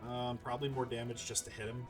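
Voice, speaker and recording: Guy doing a lets play, mid voice, chatty, thinking out loud, game music faint behind him. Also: medium pitch, flat delivery, fast talker. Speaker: gaming video host. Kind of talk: casual let's play commentary.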